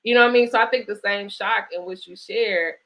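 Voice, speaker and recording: Bright-voiced woman hosting a conversation. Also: mid-range voice, rough recording. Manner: reflective, halting phrasing with check-in tags like "right?" and "you know". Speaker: host interviewing an author. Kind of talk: conversational interview at a virtual book event.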